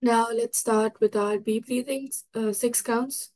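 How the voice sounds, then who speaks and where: A woman with a fairly high voice leads a guided meditation routine. She gives short instructions from a room at home, picked up by a device a little way off. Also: fairly even intonation, takes it slowly, a little mellow. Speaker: session leader of a meditation routine. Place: small home room with bookshelves, indoors.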